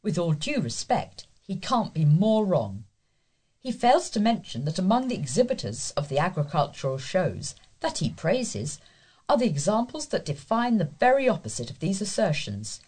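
A woman's voice: on the low side, very animated, moderate pace, darkish tone, husky. A woman reading newspaper letters aloud as a volunteer news reader.

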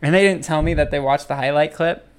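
A man's voice: fairly high pitch, clean tone. A man co-hosting a podcast in casual chat, telling rambling stories full of restarts. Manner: rambling storyteller, halting restarts. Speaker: podcast co-host.

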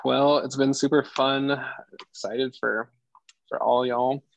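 A man leading a casual online discussion group, soft-spoken and relaxed, laughing often as he wraps up over a video call. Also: medium pitch, slow pace, vocal fry, dry recording, dull audio.

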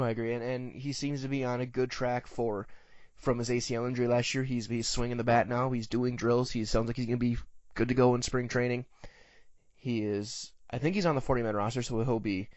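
A man's voice: mid-range voice, darkish tone, no echo, highs cut off. A man with an American accent hosting a sports show, talking through the news in a conversational commentary style. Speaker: sports podcast host.

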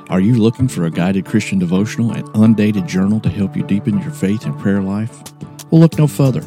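A man reading scripted promotional narration as a podcast announcer. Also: fairly low pitch. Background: music.

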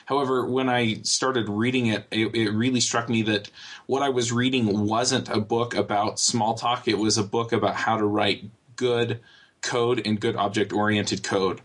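A male host introduces panelists and muses aloud on a casual podcast. His voice sits a little low, and he talks in a relaxed, rambling way, padding his thoughts with filler words. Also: moderate pace.

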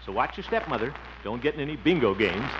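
A man's voice: mid-range voice, sing-song, fast talker, some chatter behind, lightly acted. An actor playing a jovial, pompous small-town man in a blustery, chuckling 1950s radio comedy style. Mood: jovial bluster. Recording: muffled, noisy 1950s radio recording.

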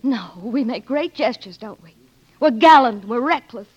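A woman's voice: on the high side, lively intonation, moderate pace, husky. An actress playing an ardent American career woman in love, with emotional, theatrical delivery in a 1940s radio drama. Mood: agitated, anguished. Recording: muffled 1940s radio broadcast, orchestral music.

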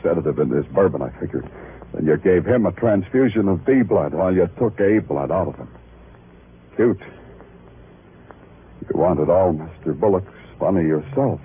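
An actor playing a world-weary, hard-boiled New York police detective, terse and wry, in a 1950s radio noir. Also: male voice, deep voice, expressive, normal speed, pauses often, narrow, tinny audio, a little hiss or hum. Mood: accusing, sardonic. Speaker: detective lead and narrator. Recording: thin old radio recording, loud music.

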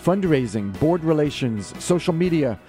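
Male host, dark relaxed tone, mid pitch, scripted show promo with light music underneath. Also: normal speed.

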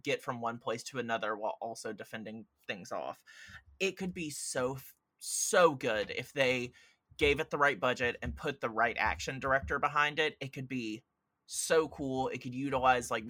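A man's voice: medium pitch, sharp tone, no room sound. A man talking casually and enthusiastically about films and games on a podcast, in a rambling, informal way. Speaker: podcast speaker. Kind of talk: casual film and video game discussion.

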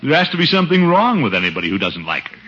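A man's voice: medium pitch, big pitch swings, racing, pushed and bright, slightly rough, exaggerated voice. A man playing a fretful, pompous middle-aged uncle, with hesitant, theatrical delivery in a 1950s radio comedy. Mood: wounded. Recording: muffled vintage radio recording.

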